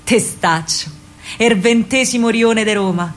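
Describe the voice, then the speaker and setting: Actress as earthy young woman partisan, Roman accent, fairly high voice, feisty tomboy storyteller for a theatrical monologue. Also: unhurried, natural voice. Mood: proud, reminiscing. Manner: colloquial, brash, chatty, directly addressing listeners.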